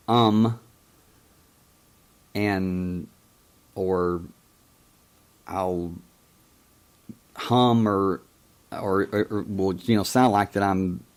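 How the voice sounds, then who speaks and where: A man in his fifties who stutters and stammers, hosting a call-in show in a casual monologue, often pausing to search for words. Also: lowish voice. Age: 50s. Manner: many pauses and hesitations.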